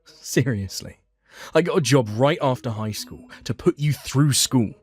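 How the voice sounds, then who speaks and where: A man's voice: mid-range voice, expressive, a little slow, slightly rough, pitched above the performer's own voice. A man playing a hardworking, put-upon eldest brother in a manga story, blunt and indignant. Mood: fed up, indignant.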